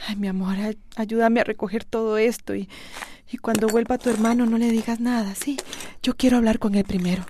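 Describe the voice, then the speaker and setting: Higher-voiced actress as a worried Costa Rican mother. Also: fairly even intonation, brisk, dark tone, a little hiss or hum, lightly acted. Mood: tender, tearful. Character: anxious, tender mother leaving a troubled marriage. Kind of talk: radio drama acting.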